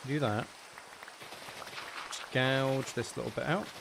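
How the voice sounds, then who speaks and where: A male game streamer with a medium-pitched voice talks casually as he plays, with clear rain noise behind him. He rambles through his thoughts aloud in a playful, self-mocking way. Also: slow. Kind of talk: casual live gameplay commentary. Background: rain sounds.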